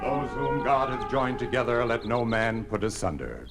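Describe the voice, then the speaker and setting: An actor playing a calm, devout parson who speaks in a solemn, measured, ceremonial way in a 1950s radio drama. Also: male voice, mid-range voice, gravelly, natural voice. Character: calm devout male parson marrying a couple in secret. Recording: old radio recording with music.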